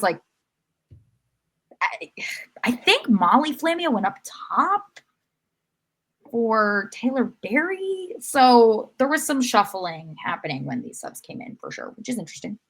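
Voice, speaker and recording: A woman co-hosting a podcast, talking sports in a casual, analytical way. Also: mid-range voice. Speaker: podcast co-host.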